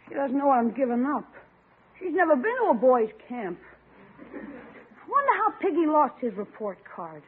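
Wanted: an anxious, wheedling schoolboy for classic radio comedy, youthful and very animated, muffled vintage sound. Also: high-pitched. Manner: expressive, folksy exclamations. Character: scheming young schoolboy, anxious about camp, wheedling. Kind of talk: old-time radio comedy acting.

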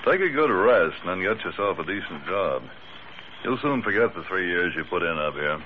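An actor playing a firm but kindly prison guard in a 1940s radio drama. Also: male voice, sits low, lively intonation, normal speed. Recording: tinny, noisy old radio recording.

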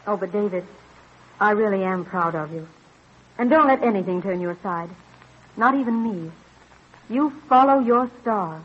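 An actress playing a practical, skeptical yet devoted colonial farm wife in an old-time radio drama, with exasperated questioning. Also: female voice, mid-range voice, animated, normal speed, long pauses, slightly rough. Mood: fond, supportive. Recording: dull, faintly noisy vintage radio recording.

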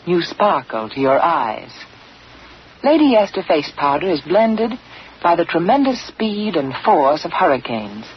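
Soft-spoken 1940s radio ad woman. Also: lowish voice. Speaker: advertiser spokeswoman. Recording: dull old radio broadcast, faint hiss.

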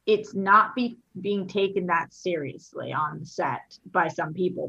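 A woman from Boston in casual conversation as a co-host, talking loudly and passionately and swearing freely. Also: on the low side, slightly rough, highs cut off. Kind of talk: casual chat.

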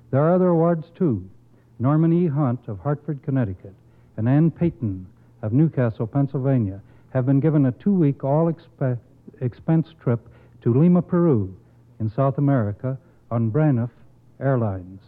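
Guy reading a formal awards speech, earnest n measured, super tinny vintage radio sound. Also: medium pitch. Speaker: academic committee spokesman announcing awards. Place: radio broadcast. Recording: muffled phone-like old radio recording.

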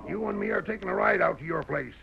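Gravelly, high-pitched crooked western sheriff. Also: male voice, expressive, fast, full character voice. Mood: accusing, commanding. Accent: American rural western. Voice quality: raspy, creaky. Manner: folksy, blustering, accusatory. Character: crooked, blustering rural western sheriff, pushy and scheming. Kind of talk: old-time radio western acting. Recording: muffled old radio broadcast.

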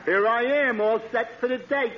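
An actor playing a dim-witted, cheerful, literal-minded pal with guileless deadpan delivery in a 1940s radio comedy. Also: male voice, very high-pitched, normal speed. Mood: cheerfully dopey. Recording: dull, noisy old radio comedy recording.

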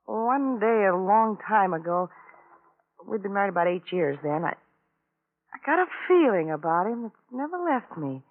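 An actress playing a weary, emotionally numb widow in an old-time radio drama, detached and halting in her phrasing. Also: mid-range voice, big pitch swings. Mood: numb, bitter. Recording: tinny, narrow-band vintage radio recording.

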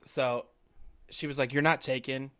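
A man's voice: mid-range voice, normal speed, clean tone. A man with a Southern accent, co-hosting a podcast, telling casual stories full of swearing and acted-out quotes. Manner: animated storyteller who acts out quotes. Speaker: podcast co-host. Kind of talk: casual profane chat.

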